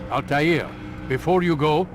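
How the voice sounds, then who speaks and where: An actor playing a stern, cryptic older master of assassins in a video game, speaking in a measured, authoritative, sententious way. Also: male voice, on the high side, background noise, close to an everyday voice. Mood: measured, commanding. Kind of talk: dramatic video game cutscene acting.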